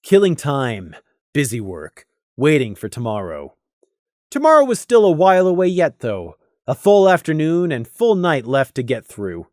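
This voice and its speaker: Expressive man narrating a story, close dry sound. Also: a little high, moderate pace, no echo. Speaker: audiobook narrator. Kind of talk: scripted dramatic story narration.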